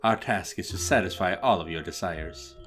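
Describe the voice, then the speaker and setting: A man voicing a deferential, seductive servant, speaking softly and formally in a comedic animated story. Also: low voice, moderate pace, pitched below the performer's own voice.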